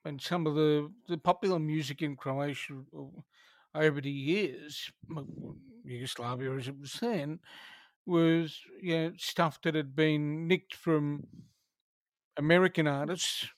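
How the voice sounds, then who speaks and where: A man in casual conversation, thoughtful and halting, often restarting his sentences. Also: fairly high pitch, fairly even intonation, dry recording.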